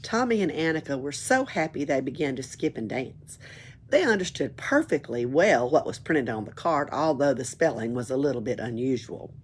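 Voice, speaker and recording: A woman reads a children's book aloud in an expressive storytelling way. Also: very deep voice, moderate pace, slight background noise. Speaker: library storyteller.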